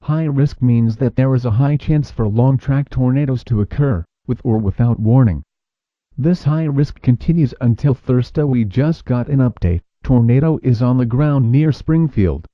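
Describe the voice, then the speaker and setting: A man's voice: mid-range voice, moderate pace, no echo. A man reading a scripted emergency weather bulletin as a radio announcer. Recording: dull lo-fi weather radio sound.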